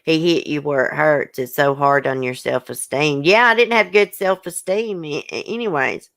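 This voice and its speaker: A woman's voice: deep voice, moderate pace, fairly bright tone, no room sound, studio quality. A woman hosting a livestream, upset and hurt, bluntly venting and reading viewer comments aloud in casual, swearing speech. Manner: emotional, blunt, colloquial, swears. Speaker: YouTube channel host.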